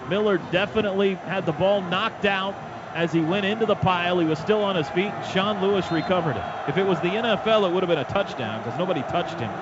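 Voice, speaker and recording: Male football play-by-play announcer, somewhat high-pitched, clipped urgent delivery, over clearly noisy stadium broadcast sound. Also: lo-fi sound. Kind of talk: live radio football play-by-play commentary. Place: stadium radio booth.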